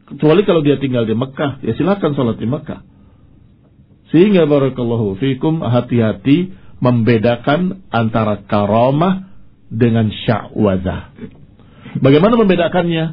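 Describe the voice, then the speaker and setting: A man's voice: mid-range voice, husky, lo-fi sound. A man giving an Islamic sermon in a didactic, emphatic way, repeating key points and posing questions that he answers himself. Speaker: Islamic preacher. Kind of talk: sermon, religious lecture.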